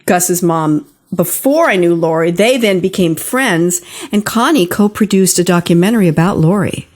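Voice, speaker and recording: A woman's voice: on the low side, animated, normal speed, a little mellow. An older woman hosting a podcast, telling personal stories in a casual, conversational way straight to her listeners. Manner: conversational, talks directly to listeners. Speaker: podcast host.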